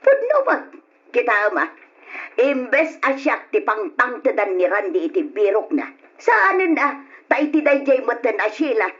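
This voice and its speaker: A woman's voice: fairly high pitch, very animated, moderate pace, a bit bright. A woman playing a jealous, possessive middle-aged mother-in-law in a radio drama, bitter and vehement, with a Filipino accent. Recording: dull, lo-fi old radio drama.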